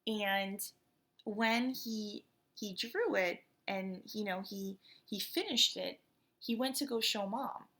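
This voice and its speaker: A woman in her thirties, born in the United States, works as a school principal and reads a storybook aloud to children. She speaks at a middle pitch with a gravelly touch. Her talk is relaxed and chatty, full of fillers. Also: slow.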